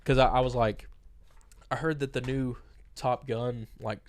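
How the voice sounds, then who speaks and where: A man's voice: medium pitch, steady tone, a little slow. A young man co-hosting a podcast, chatting casually and rambling with lots of fillers, a bit stuffy from a cold. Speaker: podcast co-host. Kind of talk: casual chat.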